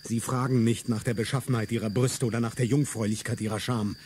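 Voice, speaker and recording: An actor voicing a fierce revolutionary who speaks in grand, solemn declamation. His voice sits in an ordinary middle range. Soft insect chirps hum behind him in this radio drama. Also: medium pitch, fairly even intonation, quick pace, natural voice. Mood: declamatory. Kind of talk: stage-like radio play acting.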